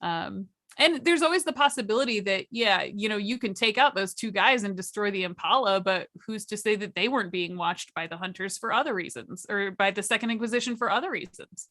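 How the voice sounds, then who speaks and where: A woman speaks as a guest on an informal panel. Her voice is bright and forward, at a middling pitch for a woman. She explains things in an enthusiastic, conversational way without a script. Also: brisk, few pauses. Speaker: workshop panelist. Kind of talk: casual, unscripted panel discussion.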